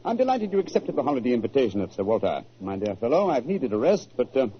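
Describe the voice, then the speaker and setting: Shrewd English detective male actor, precise and dryly amused, mid pitch, dull vintage radio sound. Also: sing-song, quick pace, close to an everyday voice. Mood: confident, musing. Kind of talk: 1940s radio acting. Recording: noisy, dull old radio recording.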